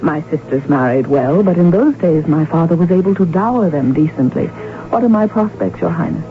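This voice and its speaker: A witty formal countess, old radio drama, dull sound with music behind. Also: female voice, fairly low pitch, animated, fairly quick. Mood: guarded, formal. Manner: formal, arch, precise diction. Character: witty, outspoken countess from an impoverished noble house.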